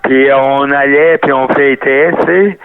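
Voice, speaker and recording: Gravelly older Québécois man on a phone line. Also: medium pitch, fairly even intonation, background noise. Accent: Québécois French. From Canada. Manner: rambling, halting with many restarts. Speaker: guest, retired wrestler. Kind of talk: interview reminiscing. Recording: tinny phone-line sound, dry.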